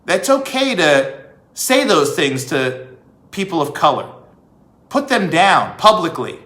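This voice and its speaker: A man giving an emphatic opinion monologue as a vlog host, with rhetorical questions and deliberate pauses. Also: a little high, big pitch swings, fairly bright tone. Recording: echoey room.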